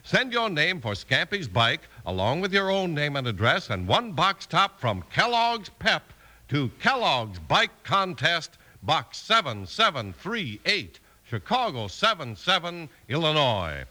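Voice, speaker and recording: A man's voice: a little high, huge pitch swings, unhurried, sharp tone. A man announcing and narrating in an emphatic, scripted 1950s radio style. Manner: emphatic, dramatic delivery.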